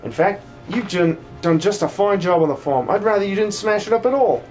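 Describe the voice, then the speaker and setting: A man's voice: high voice, a little fast, a little mellow, faint music behind, lightly acted. A man voicing a hearty, plain-spoken farmer with a folksy, welcoming manner in a game dub. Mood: hearty, grateful.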